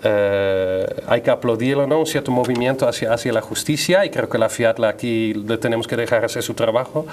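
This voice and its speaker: Guy guest on a panel, middle pitch, measured but halting, bit of background noise. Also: darkish tone. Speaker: guest, press freedom advocate. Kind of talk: spoken panel commentary. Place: TV studio.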